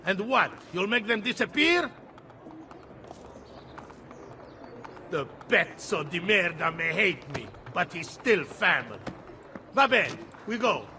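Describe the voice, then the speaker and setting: A man's voice: sits high, very animated, measured pace, pushed and bright, a little hiss or hum, full character voice. An actor playing a nervous, sardonic Italian nobleman in debt, with an Italian accent, in a video game cutscene. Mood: wry. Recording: background music.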